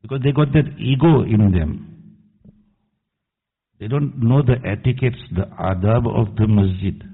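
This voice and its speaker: A man's voice: lowish voice, unhurried, creaky, slightly rough. A man preaching an earnest, admonishing sermon as imam, quoting Arabic verses. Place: mosque hall. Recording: tinny echoey hall recording.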